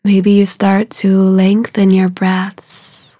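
A woman guiding a meditation in a soothing, hushed way, soft and breathy at the ends of phrases, with unhurried, drawn-out phrasing. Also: mid-range voice, completely flat delivery, no room sound, clean studio sound. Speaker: meditation guide. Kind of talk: guided meditation.

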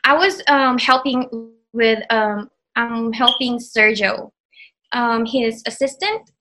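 A woman's voice: a little high, steady tone, takes it slowly, background noise. A woman in her thirties from the Philippines whose first language is Tagalog, speaking with a Filipino accent in a casual interview, hesitant with many fillers and restarts. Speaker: graduate of an online program.

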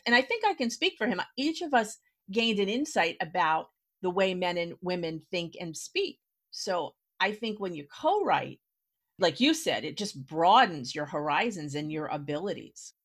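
A woman's voice: on the low side, sing-song, moderate pace, a bit bright. A woman hosting a casual interview, talking in a direct, explanatory way and checking in with the listener as she goes. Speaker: podcast host, writer.

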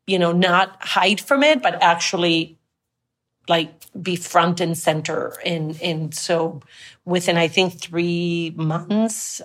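Colombian-born woman recalling her career, low voice. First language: Spanish. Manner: reflective, earnest, recounts with quoted dialogue. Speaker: guest. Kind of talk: conversational interview storytelling.

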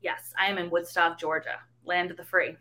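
Young new york woman w a slight bronx accent, lowish voice but bright and forceful, explains stuff steady and detailed. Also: moderate pace. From New York City, USA. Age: young adult. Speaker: guest. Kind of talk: interview answers.

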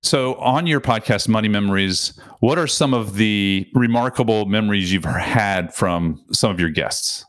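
An interviewer asking measured, prepared questions. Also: low voice, a bit bright.

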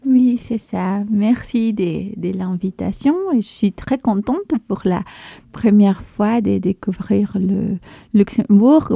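Middle-aged woman, Mexican accent, native Spanish speaker, very mellow dark timbre, vocal fry, reflective halting phrasing. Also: medium pitch, no echo. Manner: thoughtful, halting, rephrases as she goes. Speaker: interview guest, philosopher and theatre actress. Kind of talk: reflective interview talk.

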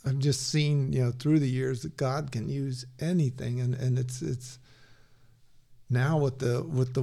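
A man talking as a podcast guest, halting and restarting often, laughing easily. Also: mid-range voice, creaky, dark tone. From Peru. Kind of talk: casual conversation.

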